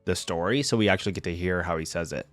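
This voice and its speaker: Low-voiced man giving casual reaction commentary. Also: quick pace, faint music behind. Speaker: reaction video host.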